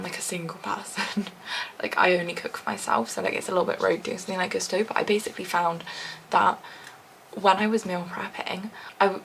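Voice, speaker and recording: A young English woman vlogging casually to a handheld camera, chatty and rambling, her voice a little husky and deep, sometimes dropping to a soft whisper. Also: a little hiss or hum, poor audio. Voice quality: slightly deep, husky morning voice. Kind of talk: casual vlog chat to camera. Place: at home and outdoors after a run. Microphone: small handheld camera.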